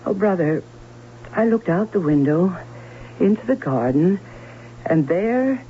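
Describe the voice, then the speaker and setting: An actress playing a fearful, anxious aunt in an old radio drama, speaking in a halting, dramatic way. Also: female voice, on the low side, big pitch swings. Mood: grave recounting. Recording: muffled, hissy old radio broadcast.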